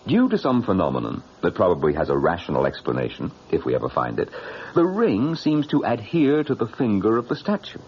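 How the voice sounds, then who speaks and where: A man acting in an old-time radio drama as a suave, cynical fiancé, with a husky voice and a theatrical, glib manner. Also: on the low side, wildly swooping pitch, a little fast, a little hiss or hum. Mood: defensive, insistent. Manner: theatrical, glib, self-justifying. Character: suave, cynical man engaged to marry, uneasily dismissive. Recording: dull, muffled radio drama recording.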